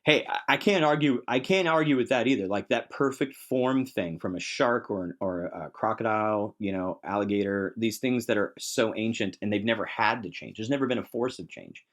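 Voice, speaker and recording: A man's voice: lowish voice, moderate pace, few pauses. A man talking casually and enthusiastically as a podcast guest, with emphatic phrasing, in a discussion of tabletop game monsters.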